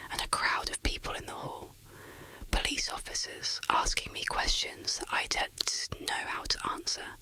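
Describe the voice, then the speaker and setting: Someone narrating an ASMR horror story in a whisper, with deliberate, dramatic delivery. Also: bright, forceful tone, poor audio.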